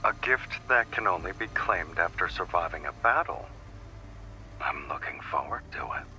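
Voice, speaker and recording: Man playing a low-voiced, suave, teasing love interest. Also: lively intonation, moderate pace, sharp tone, slight background noise, full character voice, poor audio. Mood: teasing, assured. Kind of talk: scripted dubbed game dialogue. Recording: music behind the voice.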